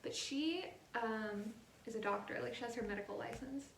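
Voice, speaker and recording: A woman in a casual book chat with a friend, speaking informally and haltingly with lots of "like". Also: a little high, vocal fry, recorded from a distance, a crowd in the background. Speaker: guest co-host on a book vlog. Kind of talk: casual chat between friends about books. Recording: echoey room.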